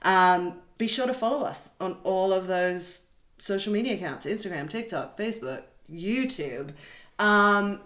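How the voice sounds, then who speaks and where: A woman co-hosts a podcast in an informal style. Her pitch is average for a woman. The room adds a clear echo to her voice. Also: measured pace, a bit bright. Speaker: podcast co-host and producer. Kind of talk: casual podcast sign-off, listing contacts. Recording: echoey room.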